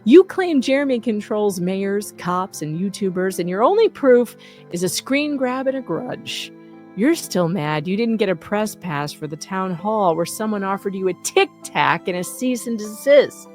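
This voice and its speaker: A woman's voice: medium pitch, animated, a little slow, darkish tone, faint music behind. A woman reading out a scripted satirical roast as an online host, with a sarcastic, punchy, emphatic delivery.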